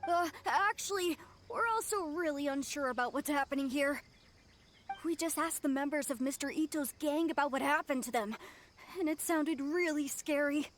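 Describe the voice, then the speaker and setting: A woman's voice: high voice, normal speed, slight background noise, close to an everyday voice. A woman voicing a small woodland spirit creature in an anime video game, polite, earnest and slightly hesitant. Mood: hesitant, worried. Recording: faint music behind the voice.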